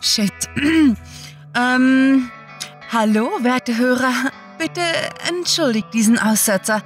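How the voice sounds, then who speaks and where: Female podcast host, playfully flustered and hesitant, taking her time, fairly high register. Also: faint music behind. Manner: hesitant, halting, mock-flustered. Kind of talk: playful podcast introduction addressed to listeners.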